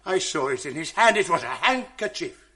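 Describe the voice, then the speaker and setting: An actor playing Othello, a proud general, who swings between roaring anguish and low grief in a 1980s radio drama. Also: male voice, big pitch swings, fairly quick, bright, forceful tone.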